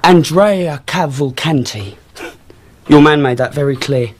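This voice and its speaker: An actor playing a gushing, pretentious young upstart in a radio drama, with florid, theatrical phrasing. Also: male voice, fairly high pitch, unhurried, a little mellow. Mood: delighted, preening. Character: thin young male upstart, gushing and affected.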